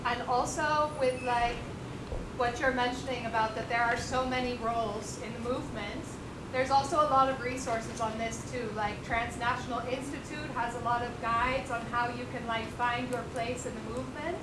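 A woman with a higher voice speaks up loudly and outspokenly from the audience. The hall echoes strongly around her. Clear background noise sits under her words. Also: fairly even intonation, moderate pace. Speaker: audience member. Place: event venue.

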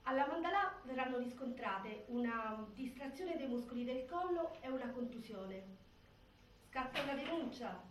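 An actress as a sober narrator, reading formal, factual narration for a stage play. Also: female voice, sits high. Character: sober female narrator of a prison case. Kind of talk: scripted theatrical narration. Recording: echoey, distant, faint noise.

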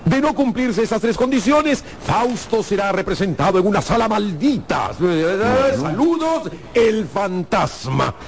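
A wry theatre director with a high, creaky voice. He is theatrical and incredulous, drawing out his words in a radio drama. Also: big pitch swings, full character voice. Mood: mocking, reading aloud. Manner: theatrical, deliberate. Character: wry, incredulous male co-director of opera house.

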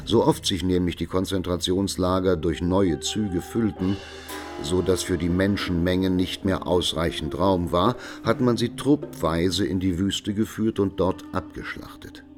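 A man playing a sober witness who reports atrocities in measured, formal, factual detail in a documentary radio drama. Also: low-pitched. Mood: grave, factual. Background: music.

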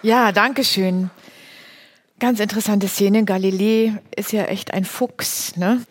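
A woman's voice: mid-range voice, fairly even intonation, takes it slowly. A woman moderating a casual discussion with informal, conversational remarks.

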